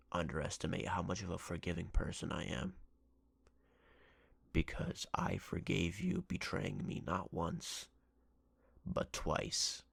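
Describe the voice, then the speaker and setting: A man playing a menacing, possessive yandere ex-boyfriend in an ASMR roleplay, with a gravelly, breathy voice and a deliberate, threatening delivery. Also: low voice, no echo, clean studio sound, exaggerated voice. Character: menacing obsessive yandere ex-boyfriend, a jealous captor.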